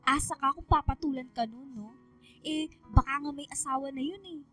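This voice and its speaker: A woman's voice: sits high, a little fast, fairly bright tone. A woman playing a sassy, nosy teenage best friend with a Filipino accent, teasing and slangy in a radio drama. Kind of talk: radio drama acting, casual banter. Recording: distant microphone, music bed underneath.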